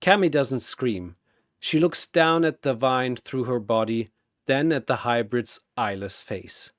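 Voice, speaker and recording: A man's voice: mid-range voice, measured pace, fairly bright tone, no room sound, studio quality. A man telling a scripted battle story in a theatrical, intense and emphatic narrator's style. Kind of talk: dramatic scripted fight narration.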